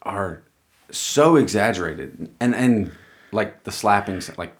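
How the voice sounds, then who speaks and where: A man with a very deep voice that still swings a lot in pitch, talking close to a studio mic. He co-hosts a casual podcast, blunt and joking, and keeps cutting in on his partner. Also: expressive, takes it slowly. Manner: joking, blunt, often cuts in. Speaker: podcast co-host. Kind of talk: casual chat.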